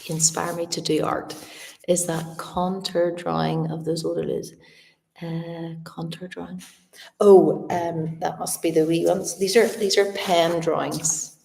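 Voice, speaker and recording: Gravelly, low Northern Irish woman. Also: little pitch movement, measured pace, dark tone, long reverb tail, slight background noise. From Northern Ireland. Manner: chatty, talks directly to viewers. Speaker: artist livestreaming to viewers. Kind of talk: casual live chat to viewers. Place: large old house, indoors.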